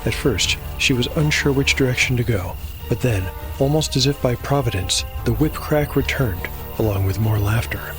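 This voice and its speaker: A man hosting and narrating a scripted dark fantasy tale in a measured, solemn storytelling manner. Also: medium pitch, rough recording. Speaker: host and narrator. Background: background music.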